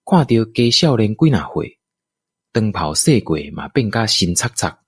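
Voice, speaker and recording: A man with a Taiwanese accent narrating a scripted radio drama in expressive storytelling style. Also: lowish voice, measured pace, breathy edge, dry recording, studio quality.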